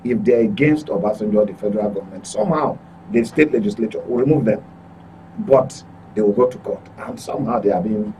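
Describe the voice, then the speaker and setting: A man's voice: lowish voice, brisk, far from the mic, background noise. A man with a Nigerian accent giving political commentary, often repeating and correcting his words as he goes. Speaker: current affairs commentator.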